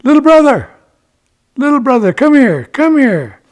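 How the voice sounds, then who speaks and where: Folk-story hero, voiced by a male teller: eager boastful young warrior type, high swooping pitch, coaxing and exclaiming. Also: expressive, unhurried, a little mellow. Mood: excited, coaxing.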